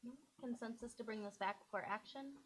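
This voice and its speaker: A woman chairing a school board meeting, calling items and votes in a brisk, procedural way. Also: on the high side, a little hiss or hum. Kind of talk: running a public meeting.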